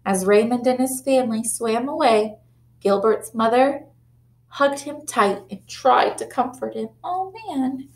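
A young woman teacher reading a picture book aloud to young children, animated and sympathetic, on a close webcam in a classroom. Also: fairly high pitch, unhurried. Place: classroom, indoors. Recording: roomy classroom echo.

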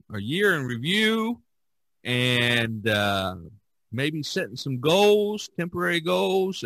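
A man's voice: mid-range voice, a bit bright, dry recording. A man hosting a podcast in a casual, chatty style. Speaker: podcast host.

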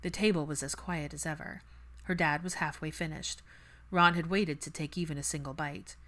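A woman's voice: low voice, fairly even intonation, normal speed, sharp tone. A woman giving a scripted audiobook narration of fan fiction.

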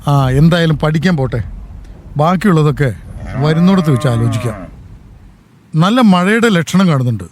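A man playing a proud older farmer in a radio drama, with a Kerala Malayalam accent and a steady, fatherly way of talking. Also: on the high side, normal speed, long pauses, a little hiss or hum, natural voice. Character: proud aging farmer devoted to his land. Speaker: old farmer, husband and father.

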